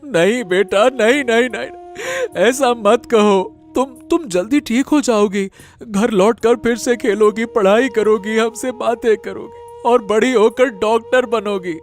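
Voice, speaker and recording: A man's voice: sits high, a little slow, faint music behind. A man playing a devoted, anguished village father in a cartoon drama, earnest and emotional. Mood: anguished, pleading. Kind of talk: animated moral story drama.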